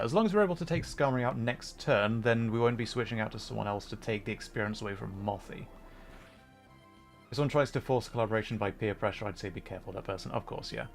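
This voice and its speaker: A man hosting a livestream, chatting casually between bits of game commentary in a hesitant, thoughtful, self-effacing way. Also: mid-range voice. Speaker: livestream host, VTuber gamer.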